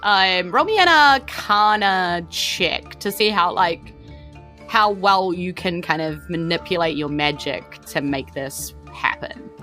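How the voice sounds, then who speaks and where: Low-pitched New Zealand woman narrating a game. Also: lively intonation, slow, sharp tone, soft background music. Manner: informal, conversational storytelling. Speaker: game master. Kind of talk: improvised tabletop game narration.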